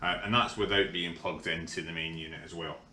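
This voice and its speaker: A middle-aged Scottish man casually explaining a kit installation in a workshop, relaxed and full of ums. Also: sits low, brisk. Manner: relaxed, hesitant with frequent ums. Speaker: workshop vlogger. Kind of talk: casual how-to demonstration. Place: large indoor workshop. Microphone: camera mic from overhead. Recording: echoey workshop with faint hum.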